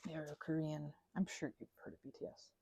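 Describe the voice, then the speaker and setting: A woman's voice: deep voice, fairly even intonation, quick pace, gravelly, dark tone, breathy edge, no room sound. A woman in her thirties rambling casually and digressively, with a sarcastic, self-deprecating streak. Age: 30s. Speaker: crafting vlogger and ASMR creator.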